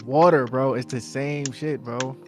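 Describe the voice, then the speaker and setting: A man's voice: mid-range voice, fairly even intonation, measured pace, music in the background. A man with a New Jersey accent co-hosting a casual podcast, talking insistently and repeating himself to make his point. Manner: insistent, repeats "I'm telling you, bro". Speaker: podcast co-host.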